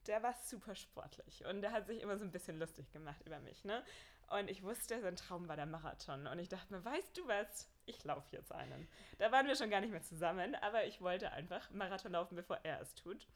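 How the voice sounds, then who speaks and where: A young woman from Bavaria with a Bavarian accent, a podcast guest and marathon runner, telling her story in a lively way. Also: medium pitch, a little fast. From Bavaria, Germany. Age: young adult. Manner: lively, laughs often, storytelling. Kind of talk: casual podcast interview.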